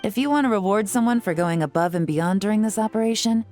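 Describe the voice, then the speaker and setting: A woman's voice: medium pitch, quick pace, a little mellow, faint music behind, close to an everyday voice. An actress voicing a composed, lightly teasing woman agent in a game cutscene. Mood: polite. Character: poised cool woman agent on vacation.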